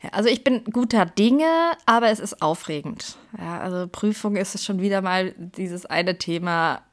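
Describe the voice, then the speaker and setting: A woman's voice: mid-range voice, normal speed. A woman in her thirties from Germany hosting her own podcast, chatting casually in a rambling, self-correcting way and now and then dropping into a whisper. She sounds stressed before an exam. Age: 30s. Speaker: podcast host. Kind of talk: casual chat.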